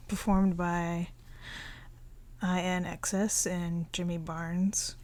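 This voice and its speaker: A woman speaking softly and often in a near whisper, in a relaxed, chatty ASMR show-and-tell. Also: on the low side, takes it slowly, background noise. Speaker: ASMR video creator showing a collection.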